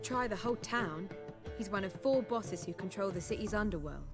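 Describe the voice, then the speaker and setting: A woman playing a poised, witty bard in a fantasy game. She speaks at a middle pitch in ornate, wry, articulate sentences, with music clearly playing behind her. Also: animated, moderate pace, lightly acted. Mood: concerned. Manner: articulate, wry, theatrical wording. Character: witty, eloquent female bard, poised and earnest. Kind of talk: video game dramatic acting.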